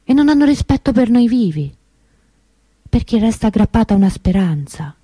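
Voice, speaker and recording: An actress playing the grieving, bitter sister of a kidnapped girl in a radio monologue, measured and emphatic. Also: fairly high pitch, big pitch swings, warm tone, slightly rough, no room sound. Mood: indignant, grieving.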